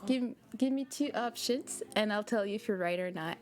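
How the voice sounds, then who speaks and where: A woman's voice: mid-range voice, normal speed. A young woman from Quebec with a French Canadian accent, chatting casually with her livestream viewers, laughing often as she answers their messages. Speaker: streamer. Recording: music playing behind. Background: background music.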